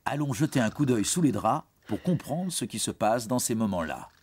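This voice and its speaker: A man's voice: mid-range voice, very animated, normal speed, a little mellow, husky. A man giving scripted educational narration for young teens in a reassuring, explanatory manner. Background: background music.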